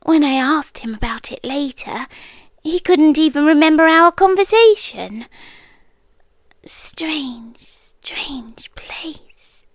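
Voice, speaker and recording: A British woman playing an eerie, possessive, soft-spoken doctor in an ASMR roleplay, speaking deliberately in a hush that often slips into whispers, with long pauses. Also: high voice, animated, full character voice. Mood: uneasy, hushed. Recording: close, dry ASMR recording.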